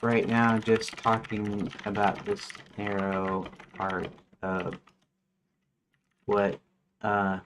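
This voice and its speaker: A man chatting casually while streaming his game development, rambling with lots of fillers and restarts, sometimes reading lists aloud in a flat mutter. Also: on the low side, drawn-out words, pauses often, a little hiss or hum.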